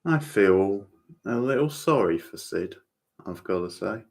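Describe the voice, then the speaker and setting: Male livestream host, very deep gravelly voice, relaxed watchalong chat addressed to viewers. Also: unhurried. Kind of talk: casual watchalong commentary, chatting with viewers.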